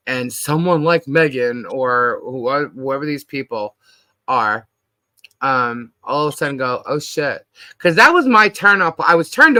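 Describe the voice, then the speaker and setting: A middle-aged American woman with a deep husky voice, chatting casually and bluntly as a live stream host, telling rambling anecdotes in slangy speech. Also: sharp tone, no room sound. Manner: blunt, slangy, animatedly quotes herself and others.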